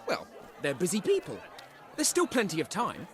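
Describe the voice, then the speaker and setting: An actor playing a wry, easygoing, roguish adventurer companion in an animated fantasy game. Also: male voice, high voice, normal speed, a crowd in the background, exaggerated voice. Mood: wry, friendly.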